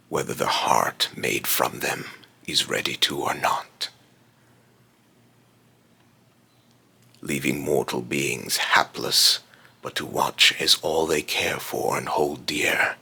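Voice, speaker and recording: A man voicing an ominous immortal being in a theatrical villain monologue, grave and condescending, with solemn, grandiose phrasing and a gravelly, breathy rasp. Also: rumbling low, bright, forceful tone, exaggerated voice. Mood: grave, foreboding. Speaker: voice actor.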